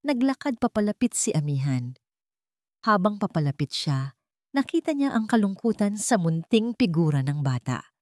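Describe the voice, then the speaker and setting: A woman's voice: medium pitch, big pitch swings, moderate pace, darkish tone, no room sound, clean studio sound. A woman with a Filipino accent reading dramatic scripted narration as a storyteller.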